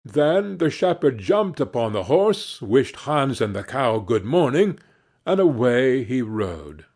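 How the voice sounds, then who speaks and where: Male audiobook storyteller: careful phrasing, leisurely delivery, lively rise and fall. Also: mid-range voice, slow. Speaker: audiobook narrator. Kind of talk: scripted storytelling narration.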